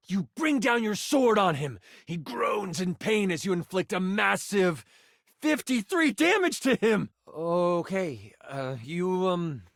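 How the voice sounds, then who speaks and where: A man voicing an earnest, excitable game master in a video game, narrating fantasy adventure dramatically with hesitant, casual asides. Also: high-pitched, no echo, clean studio sound. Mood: excited, dramatic. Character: earnest, excitable, slightly flustered male game master character.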